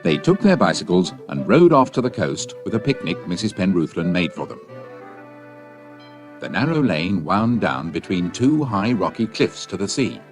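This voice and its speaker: A man's voice: deep voice, sing-song, moderate pace, faint music behind. A man reading scripted audiobook narration as a storyteller.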